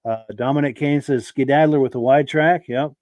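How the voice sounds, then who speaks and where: A man hosting a podcast in a relaxed, chatty way, reading out viewer comments while his voice is hoarse from a sore throat. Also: medium pitch, moderate pace, no room sound, highs cut off. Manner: relaxed, chatty, affirming with yeahs. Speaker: podcast host.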